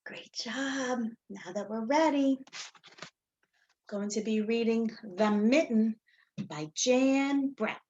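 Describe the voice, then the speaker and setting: A woman teacher doing a story time read-aloud for young children, with simple, deliberate phrasing and a sing-song, questioning delivery. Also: sits high, darkish tone, dull audio. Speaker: teacher reading a picture book to young children.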